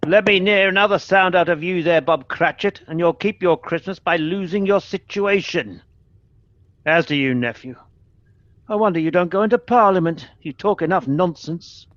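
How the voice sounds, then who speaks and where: A man's voice: high-pitched, moderate pace, a bit bright, no echo, lo-fi sound. An actor playing a grumpy, miserly old businessman in a radio play, curt and scornful as he barks his words. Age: older.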